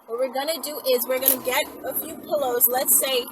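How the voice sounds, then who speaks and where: A woman's voice: high voice, brisk. A woman in her thirties chatting casually and emphatically as she gives step-by-step instructions, often checking in with the listener. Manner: animated, emphatic, checks in with "right?" and "okay?". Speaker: vlogger giving instructions. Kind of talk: casual instructional chat to camera. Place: inside a car, daytime. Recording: distant mic with loud vehicle noise. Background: loud car and road noise.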